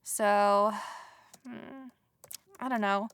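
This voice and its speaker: An actress playing a cheeky, confident young niece in a comedy audio drama, sassy and slangy. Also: female voice, mid-range voice, steady tone, extremely slow, a bit bright, clean tone, no room sound. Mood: teasing. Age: young adult.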